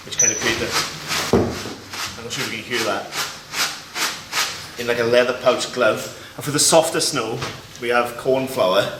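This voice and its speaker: Lower-voiced man, echoey and off-mic. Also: steady tone. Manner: explanatory, pausing to show each item. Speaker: Foley artist. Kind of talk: informal demonstration of sound-effect props. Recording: echoey, distant mic, loud noise and clicks.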